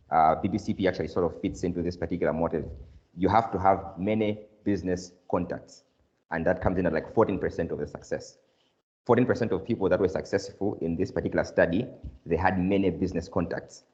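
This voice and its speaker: A man hosting a business event, presenting study findings and introducing a guest speaker in a measured way with frequent uh fillers. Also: deep voice, darkish tone. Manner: measured, with uh fillers and sort-of phrasing. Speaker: event host. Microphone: video call. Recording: muffled video call audio.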